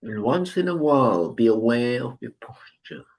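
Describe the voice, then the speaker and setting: A man leading a guided breathing meditation, giving calm instructions with long pauses. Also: mid-range voice, gravelly. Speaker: meditation teacher.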